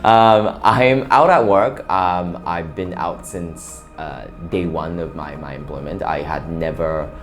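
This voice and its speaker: Young man from Japan, deep low register, halting casual interview answers. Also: faint music behind. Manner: hesitant, many fillers and restarts. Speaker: interview guest, bank operations worker.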